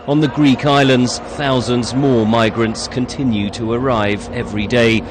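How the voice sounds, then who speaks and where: A man's voice: medium pitch, steady tone, unhurried, very noisy. Male news reporter narrating a package.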